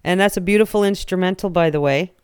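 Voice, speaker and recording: A woman hosting a casual radio show, chatty and animated, laughing often. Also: fairly low pitch, quick pace, clear, resonant tone, no room sound, clean studio sound.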